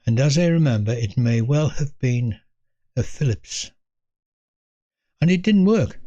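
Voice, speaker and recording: An English man in his eighties telling a reflective story from his own life in a measured manner, pausing between short phrases. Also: mid-range voice, expressive, mellow, no room sound, lo-fi sound. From North East England. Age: 80s. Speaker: storyteller, host of own video series. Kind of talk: reflective autobiographical storytelling to camera.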